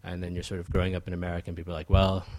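A young male writer with a very low, even voice answers questions at a public talk. He speaks reflectively, pausing, restarting and filling gaps with ums as he works through his thoughts. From Ethiopia.

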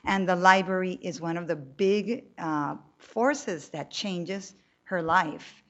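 Woman novelist, lively, chatty, low-ish voice, muffled event audio. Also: takes it slowly. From Colombia. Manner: animated, talkative, frequent restarts. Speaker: novelist on a panel. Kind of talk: panel talk to an audience. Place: room with a live audience.

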